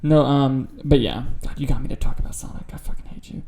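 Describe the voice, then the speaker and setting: A man's voice: mid-range voice, quick pace, vocal fry, mellow, slight background noise. A man co-hosting a podcast, chatting casually about film news in an opinionated, sweary, informal way. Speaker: podcast co-host.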